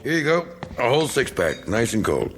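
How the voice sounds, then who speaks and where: An actor playing a bitter, self-pitying middle-aged American war veteran in a radio drama, talking in a folksy, rambling, needling way. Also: male voice, mid-range voice, huge pitch swings, brisk, over music.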